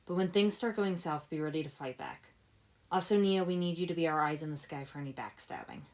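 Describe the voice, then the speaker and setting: A steady young team leader, played by a woman reader speaks in a low voice. He is practical and dry, giving ninja orders with authority in an adventure story. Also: fairly quick. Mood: serious, commanding.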